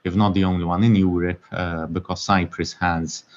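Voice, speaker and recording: A man's voice: low voice, level tone, moderate pace, muffled recording. A man answering as a guest on a virtual conference panel, hesitant with many fillers.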